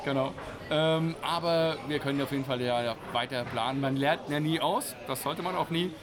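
Informal mid-pitched man, crowd behind. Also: moderate pace. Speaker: interviewed event organiser. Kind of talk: casual, jokey interview chat. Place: busy audio drama convention. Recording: busy convention crowd behind voice.

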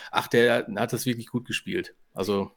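A man's voice: fairly low pitch, steady tone, normal speed, vocal fry, a bit bright. A man co-hosting a podcast, giving his impressions in a casual, conversational review style. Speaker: podcast co-host and audio drama maker.

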